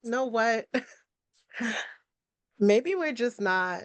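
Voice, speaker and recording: An actress playing a hurt, fed-up young woman quarrelling with her fiancé in a live dark comedy script read, accusing and weary. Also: a little high, expressive, very slow, no room sound. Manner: accusing, weary, sharp-tongued.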